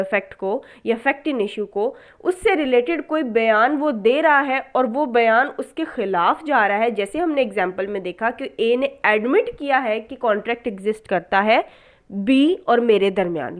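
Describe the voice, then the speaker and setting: A woman with a Pakistani accent giving an explanatory lecture, mixing in English terms, in a didactic way with questions she answers herself. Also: a little high. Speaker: law lecturer.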